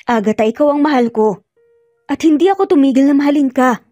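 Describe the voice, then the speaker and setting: An earnest young boss pleads for love with remorse in a romance tale, acted out by a woman. The voice is soft-edged and mellow, with music playing underneath. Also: high-pitched, expressive, brisk, no room sound. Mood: earnest, tender. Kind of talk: dramatic romance storytelling.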